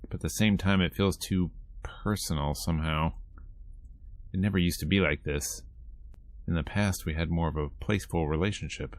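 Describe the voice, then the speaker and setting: Deep-voiced guy reading a game aloud. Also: normal speed, no room sound. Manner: breaks off into wry asides and reactions. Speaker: streamer playing and reading a visual novel aloud. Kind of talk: reading game dialogue aloud with casual commentary.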